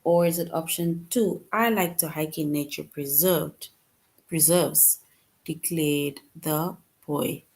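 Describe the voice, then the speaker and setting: Slow, low-voiced woman teaching. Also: a little mellow. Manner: slow, patient, reads answer options aloud. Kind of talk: online grammar lesson for children.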